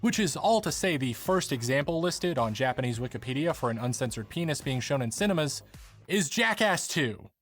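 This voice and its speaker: A man's voice: medium pitch, expressive, a little fast, fairly bright tone, soft background music. Male narrator for a scripted video essay: explanatory delivery, wry asides.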